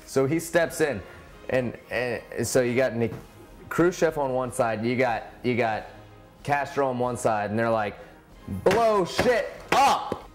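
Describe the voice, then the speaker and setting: A man's voice: medium pitch, unhurried, soft background music. A drunk man retelling history in a rambling, profane way, trailing off and restarting. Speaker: storyteller.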